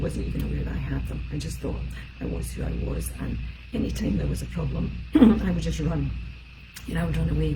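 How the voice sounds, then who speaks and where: Low-voiced Scottish woman in her fifties. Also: noisy background. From Scotland. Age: 50s. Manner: chatty, rambling with many fillers. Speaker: emotional support specialist. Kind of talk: live-streamed talk.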